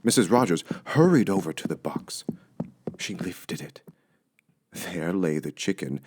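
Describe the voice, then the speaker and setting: A man's voice: deep voice, a little mellow. A man narrating a children's audiobook as a scripted storyteller.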